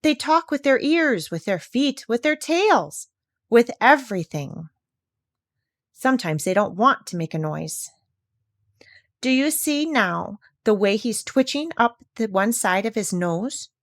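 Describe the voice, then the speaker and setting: A woman voicing a proud, bossy female parrot in a high voice, speaking emphatically as if teaching. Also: lively intonation, measured pace, no echo, studio quality. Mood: instructive. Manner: emphatic, instructive.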